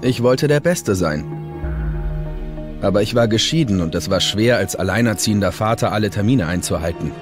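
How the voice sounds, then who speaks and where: Man voicing a low-pitched confident ex-agent lawyer, music underneath. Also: lively intonation, moderate pace, natural voice. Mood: confident, reflective. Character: confident male former drug agent turned defense lawyer. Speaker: documentary voice-over actor. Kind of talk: first-person documentary voice-over. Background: background music.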